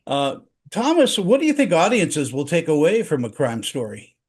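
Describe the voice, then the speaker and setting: Lively male interview host. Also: on the high side, moderate pace. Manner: animated, laughs along. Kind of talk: interview questions.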